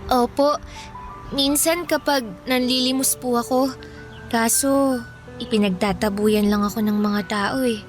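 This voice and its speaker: A woman's voice: a little high, fairly even intonation, unhurried, pauses often, natural voice. An actress playing a lonely, wary fourteen-year-old homeless orphan girl with a Filipino accent, polite and meek, in a radio drama. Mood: resigned. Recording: music bed under the voice.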